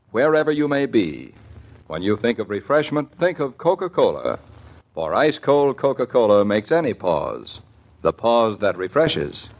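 An American man announcing in a polished, measured 1940s radio style, reading scripted sponsor copy. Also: medium pitch. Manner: polished, measured sales delivery. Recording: dull old radio recording.

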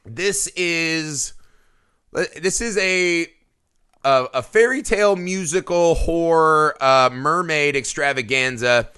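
Excitable bright-voiced man hosting. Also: fairly high pitch, takes it slowly, clean tone. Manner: excitable, laughs often, frequent restarts and swearing. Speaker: podcast host. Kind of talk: casual film podcast chat.

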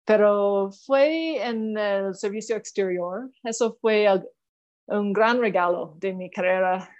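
A woman's voice: medium pitch, slow pace, darkish tone, lo-fi sound. Canadian-accented woman speaking careful Spanish. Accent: Canadian English. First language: English. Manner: thoughtful, frequent hesitations and restarts. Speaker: diplomat guest. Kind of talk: interview answers.

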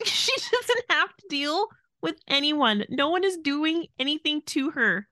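A woman's voice: high voice, expressive, measured pace, bright, forceful tone, no echo. A woman co-hosting a podcast in casual, opinionated chat, sounding exasperated and incredulous. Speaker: podcast co-host.

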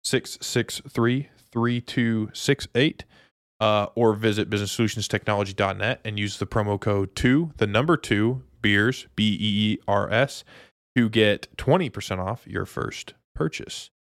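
Low-voiced man, casual podcast co-host. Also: unhurried, fairly bright tone, no echo, studio quality. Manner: informal, slangy buddy talk. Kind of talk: casual sports chat.

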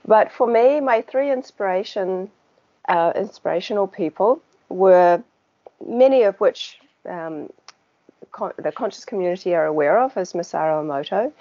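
A woman with a New Zealand accent, a podcast guest who talks in a relaxed, chatty way with small laughs and filler words. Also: mid-range voice, moderate pace. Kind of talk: casual conversational interview. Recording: dull lo-fi sound.

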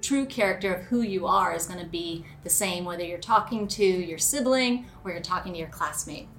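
A woman's voice: medium pitch, a bit bright. A woman speaking as an educator, giving a spontaneous, explanatory interview answer as she thinks aloud. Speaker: educator giving advice to parents. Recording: clear room noise and ambience.

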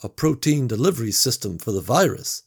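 A man's voice: mid-range voice, very animated, fairly quick, creaky, husky, voice pushed up, heavily acted. A man voicing a nervous, bullied young man who rambles hesitantly. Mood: uneasy.

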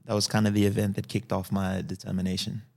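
Gravelly man telling his story. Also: on the low side, quick pace. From Fiji. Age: early 40s. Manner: calm, tags sentences with "yeah?" and "right?". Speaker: guest. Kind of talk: personal storytelling in a podcast interview.

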